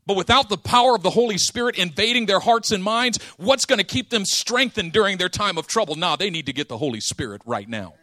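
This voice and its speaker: A man preaching a sermon, telling a story with lively acted-out dialogue and casual slang. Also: high-pitched, fairly quick, hardly any pauses, dry recording, clean studio sound. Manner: animated storytelling, acting out dialogue.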